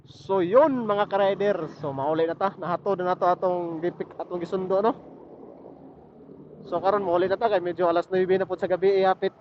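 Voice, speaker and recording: High-voiced Bisaya man vlogging on a motorbike. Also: steady tone, brisk. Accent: Filipino, Bisaya. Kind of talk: casual rambling chat while riding. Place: outdoors on a motorcycle at night in traffic. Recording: muffled helmet intercom with road noise. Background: faint vehicle and road noise.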